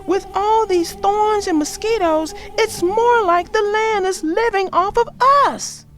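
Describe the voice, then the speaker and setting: A woman voicing an exasperated, indignant mother bear from a picture book, loud and scolding. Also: very high-pitched, unhurried, faint music behind, pitched above the performer's own voice. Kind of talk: storybook character voice.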